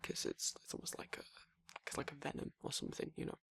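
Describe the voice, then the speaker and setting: A man voicing a gruff, laid-back werewolf boyfriend in an intimate ASMR roleplay, with a husky gravelly voice and a halting, rambling, casual delivery. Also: bright, forceful tone, dry recording, natural voice. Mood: casual, reassuring.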